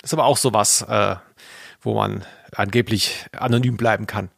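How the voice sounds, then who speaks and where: A man's voice: fairly low pitch, steady tone, normal speed, vocal fry, a bit bright, no echo. A man co-hosting a podcast, telling things casually with dry, tongue-in-cheek humour. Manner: dryly humorous, tongue-in-cheek. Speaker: podcast co-host.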